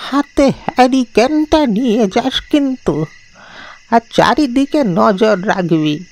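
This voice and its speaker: Slow-talking frail old village grandfather. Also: medium pitch, sing-song, poor audio. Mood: fussy, insistent. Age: older. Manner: slow, rambling, repeats words. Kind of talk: dramatised animated ghost story.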